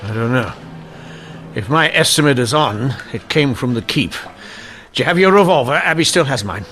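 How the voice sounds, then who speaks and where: An actor playing a shrewd, dryly witty police inspector in a radio mystery drama, speaking in a measured, deliberate way. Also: male voice, mid-range voice, animated, a bit bright, soft background music, lightly acted. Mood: intrigued, cautious. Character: shrewd, dryly wry male police inspector in 1890s Canada. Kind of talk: old-time radio mystery drama acting.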